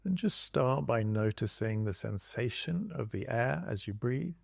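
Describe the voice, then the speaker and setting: A man leading a guided body scan meditation as a teacher, speaking in calm, gentle instructions with long pauses. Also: mid-range voice, creaky, breathy edge, no echo, clean studio sound.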